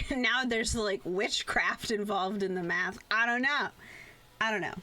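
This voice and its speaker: A young adult woman chatting casually on a livestream, rambling in an animated way and swearing freely. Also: medium pitch, unhurried, poor audio. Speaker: VTuber live streamer. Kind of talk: casual chat on a game livestream.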